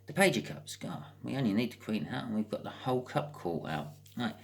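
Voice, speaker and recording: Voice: mid-range voice, lively intonation, fairly quick, gravelly, background noise. A British speaker giving a casual, improvised tarot card reading as host, chatting and thinking aloud with wry asides.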